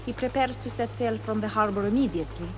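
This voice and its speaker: A woman's voice: medium pitch, fast, slight background noise, close to an everyday voice. An actress playing a sorrowful woman of a ruined household in a video game, plaintive and formal with an Italian accent. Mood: resigned, sorrowful. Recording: music behind the voice.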